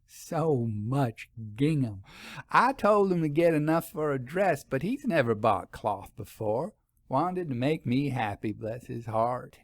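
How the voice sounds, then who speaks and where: A man's voice: medium pitch, sing-song, no echo, studio quality. A man voicing a cheerful, folksy young country wife in a storybook reading. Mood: fond amusement. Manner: folksy, teasing, quick to laugh.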